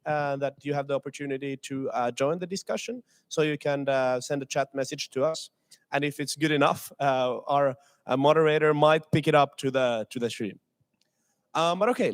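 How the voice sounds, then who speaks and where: A young man from Finland moderating a streamed student panel, hesitant with many filler sounds. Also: on the high side. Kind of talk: panel discussion on a livestream.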